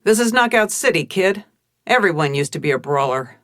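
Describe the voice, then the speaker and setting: A woman's voice: lowish voice, expressive, brisk, lightly acted. A woman playing a tough, seasoned police commissioner in a noir audio drama, terse, world-weary and commanding. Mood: stern, commanding. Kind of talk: noir scripted narration and drama.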